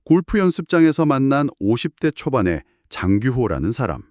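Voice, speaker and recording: A man's voice: medium pitch, big pitch swings, normal speed, a little mellow, no room sound, clean studio sound. A man retelling a listener's story on a radio-book show, acting out the quoted lines as he goes.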